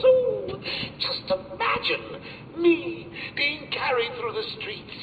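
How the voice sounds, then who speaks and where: A man voicing a gleeful, sadistic clown villain in a video game, mocking and theatrical with sudden leaps in pitch and wild cackles. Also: far from the mic, noisy background, heavily acted. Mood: taunting. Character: gleeful, sadistic male clown villain taunting his enemy. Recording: tinny, narrow-band game audio with echo. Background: loud game music.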